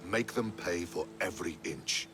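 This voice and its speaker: Man acting a low-voiced, haughty scheming general. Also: normal speed, over music, natural voice. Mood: haughty, determined. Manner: curt, haughty. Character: proud, scheming male Athenian general, ruthlessly pragmatic. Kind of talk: video game cutscene acting.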